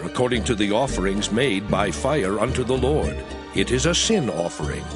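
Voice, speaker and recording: A man reads scripture in a solemn, measured, dramatized narration. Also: medium pitch. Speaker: scripture reader. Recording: prominent music under the voice. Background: music.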